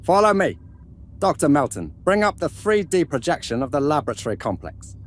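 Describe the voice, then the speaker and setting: A man's voice: on the high side, big pitch swings, a little fast, slight background noise. A man playing a courteous, inquisitive starship crew leader in an amateur sci-fi drama, with old-fashioned phrasing.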